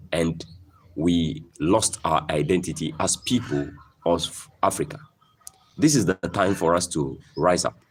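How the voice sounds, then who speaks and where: A man's voice: low voice, a little hiss or hum. A man from Ghana with a Ghanaian English accent, giving an emphatic motivational talk about farming and often repeating himself and asking "okay?". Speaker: poultry farmer.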